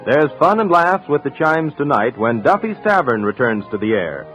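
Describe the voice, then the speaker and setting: A man's voice: medium pitch, big pitch swings, normal speed, fairly bright tone, soft background music. A man announcing in scripted 1950s radio style, reading credits and programme promos. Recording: muffled 1950s radio recording.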